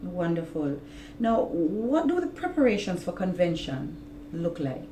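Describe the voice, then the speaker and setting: A woman with a slightly low, soft-toned voice poses polite, formal interview questions. The sound is roomy and echoey. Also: sing-song, measured pace. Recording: roomy office echo, faint noise.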